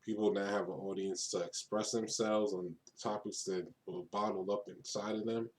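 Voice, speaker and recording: A man's voice: fairly low pitch, steady tone, normal speed. A man in his thirties from Brooklyn, New York, talking casually and unscripted to the camera, rambling, repeating and restarting his phrases. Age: 30s. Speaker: vlogger.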